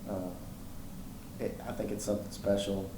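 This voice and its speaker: A young man from Virginia, a college wrestler, giving a hesitant, halting answer in a casual interview. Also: lowish voice, completely flat delivery, roomy sound, background noise. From Virginia, USA. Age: young adult.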